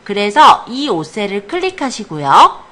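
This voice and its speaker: A woman's voice: medium pitch, sing-song, unhurried, bright, forceful tone. A woman teaching a small computer class, guiding students step by step with patient questions. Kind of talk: hands-on classroom instruction. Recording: echoey classroom.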